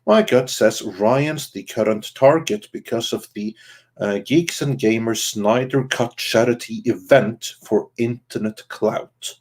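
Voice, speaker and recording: Husky low-voiced man hosting a livestream. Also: a little slow. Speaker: podcast host. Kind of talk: reading out viewer comments on a livestream.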